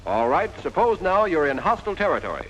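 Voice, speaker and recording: A man's voice: fairly high pitch, big pitch swings, fast talker, a bit bright, poor audio. An American man narrating a 1940s army instructional film, directly instructing the listener in a scripted style on a vintage soundtrack. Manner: direct, instructive, addressing the trainee as "you".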